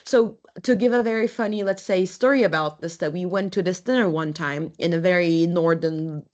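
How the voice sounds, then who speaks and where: A young adult woman from Turkey, giving a university lecture as an architect, speaking in a halting, conversational way with frequent restarts. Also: lowish voice, a little mellow, dull audio. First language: Turkish.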